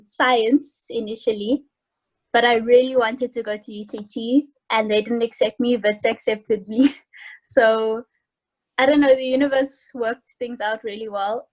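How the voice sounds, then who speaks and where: A woman's voice: fairly high pitch, fairly even intonation, normal speed, poor audio. A woman in her early twenties with a South African accent chats casually and hesitantly over a video call, in an informal student way. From South Africa, Johannesburg. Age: early 20s. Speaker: interview guest, university student. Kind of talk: casual chat. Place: home room indoors.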